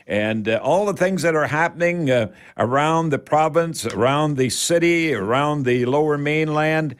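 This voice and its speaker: A man's voice: fairly high pitch, moderate pace. Folksy older Canadian man hosting radio. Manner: folksy, rambling, repeats words for emphasis. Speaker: host of a home improvement radio show. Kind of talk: folksy live radio talk with product tips.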